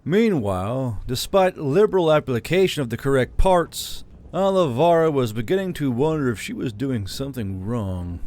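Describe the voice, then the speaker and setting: Man reading a fantasy novel aloud. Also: medium pitch. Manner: steady, measured reading. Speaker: audiobook narrator. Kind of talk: scripted narration.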